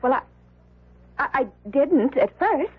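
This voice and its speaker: High-voiced, petulant, nagging lady. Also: expressive, slow pace. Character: excitable, petulant woman, nagging her man. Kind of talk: old radio drama acting. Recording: tinny, narrow-band old radio broadcast.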